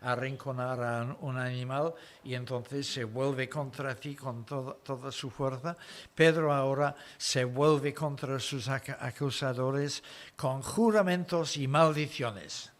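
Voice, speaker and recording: A man preaching an expository sermon in foreign-accented Spanish, explaining the story deliberately in short phrases. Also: mid-range voice, a bit bright.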